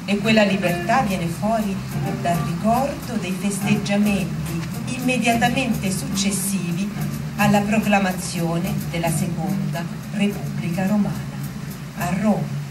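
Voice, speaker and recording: A woman telling a story theatrically, in deliberate, emphatic phrases. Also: mid-range voice, reverberant, natural voice. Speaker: stage actor and narrator. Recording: distant microphone under loud music.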